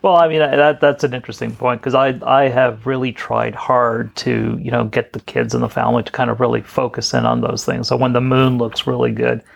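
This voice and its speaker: Very gravelly man, podcast co-host, opinionated. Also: mid-range voice, flat delivery, a little fast, hardly any pauses. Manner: affirming, gives opinions. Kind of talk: casual podcast discussion.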